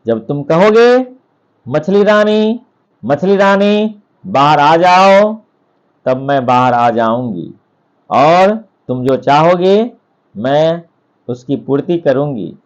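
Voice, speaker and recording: A man's voice: on the high side, lively intonation, takes it slowly, lo-fi sound. A man voicing a grateful, kindly female golden fish queen from a storybook, speaking graciously.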